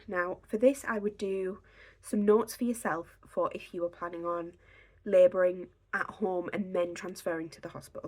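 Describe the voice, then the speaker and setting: Slightly croaky woman, chatty. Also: lowish voice. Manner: chatty, filler-heavy, reassuring. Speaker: pregnancy vlogger. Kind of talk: casual instructional talk to camera. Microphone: camera on a tripod.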